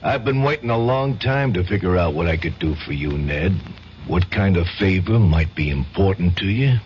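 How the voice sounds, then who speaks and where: An actor playing a brooding, deliberate man with a measured, ominous manner in 1970s radio drama style. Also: low voice, expressive. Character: brooding, deliberate man offering a dark favor. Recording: dull 1970s radio recording, faint noise.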